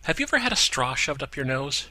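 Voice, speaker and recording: A man's voice: medium pitch, very animated, fast talker, sharp tone, no echo, pitched above the performer's own voice, exaggerated voice. A man voicing an impatient, crude young woman in a car, snappy and sarcastic. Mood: irritable.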